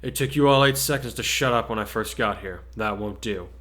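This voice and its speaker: A weary male teacher mumbles in a flat, curt way, acted out by a man reading aloud. He is a scruffy, stern figure from a fan story who sounds thoroughly disinterested. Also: on the low side, fairly quick, lightly acted. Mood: bored, stern.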